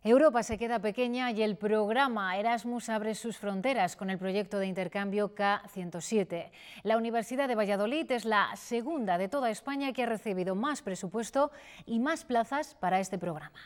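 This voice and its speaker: A woman in her thirties anchors the evening news from a studio desk. Her delivery is clear and steady, with a middle pitch and even rhythm. She sounds composed and professional throughout. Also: moderate pace. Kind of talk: read news presentation.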